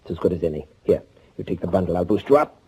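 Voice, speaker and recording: A man's voice: low voice, animated, very fast, a little mellow. An actor playing a cautious, commanding man on the run in 1940s radio thriller style, clipped, urgent and protective. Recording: faintly noisy 1940s radio recording.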